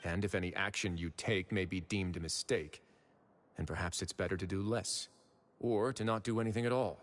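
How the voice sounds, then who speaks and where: A man voicing a composed noble fortress warden who speaks in a calm, measured, authoritative way, in game audio with music behind. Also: low voice, a little hiss or hum, lightly acted.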